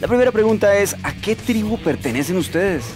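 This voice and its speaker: An earnest, wary hero of a comic radio serial with a Colombian accent. His voice sits a little high and he talks with mock seriousness. Music plays clearly behind him in a studio recording. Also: animated, brisk. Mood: earnest questioning. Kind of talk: comic radio drama acting. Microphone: close broadcast microphone.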